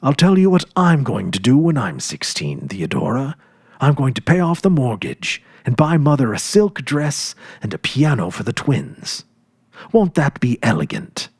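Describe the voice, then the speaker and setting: A man's voice: medium pitch, wildly swooping pitch, normal speed, slightly rough, no room sound, studio quality, full character voice. A man voicing a confident, eager ten-year-old farm boy in a storybook, earnest and emphatic, with a boastful know-it-all manner. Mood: earnest, curious.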